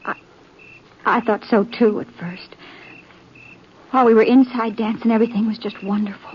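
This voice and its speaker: A woman's voice: fairly high pitch, fairly even intonation, normal speed, a little hiss or hum, close to an everyday voice. A woman playing a naive, tender-hearted young frontier woman, earnest and plaintive in old-time radio style. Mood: hurt, plaintive. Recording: dull, muffled vintage radio recording.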